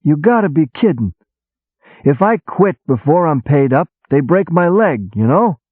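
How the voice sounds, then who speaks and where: A man's voice: fairly high pitch, measured pace, no echo, voice pushed up. A man voicing a brash, sarcastic army boss who talks like a streetwise gangster. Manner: sarcastic, excitable, shouting, streetwise gangster talk. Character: loud brash middle-aged male army boss. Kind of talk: audiobook character voice. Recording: tinny phone-like sound.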